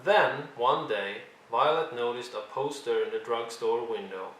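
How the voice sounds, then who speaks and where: A man's voice: medium pitch, fairly bright tone. Man reading a children's story, roomy sound. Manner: deliberate, dramatic emphasis. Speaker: storyteller reading a picture book aloud. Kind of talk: expressive read-along narration for young children. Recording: roomy echo, faint background noise.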